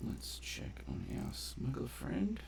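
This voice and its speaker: A man's voice: slow, a little mellow, a little hiss or hum. A man giving casual gameplay commentary, thinking aloud in a laid-back, colloquial mutter. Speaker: gameplay video host.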